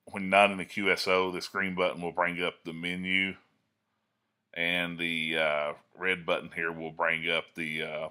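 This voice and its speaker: A man's voice: low voice, bright, forceful tone. A man hosting an amateur radio tutorial in a casual, unscripted way.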